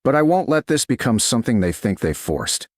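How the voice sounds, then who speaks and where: A man voicing a commanding, restrained duke in a medieval romance audiobook, speaking in terse, controlled, deliberate lines. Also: medium pitch, big pitch swings, no room sound, clean studio sound. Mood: firm, controlled.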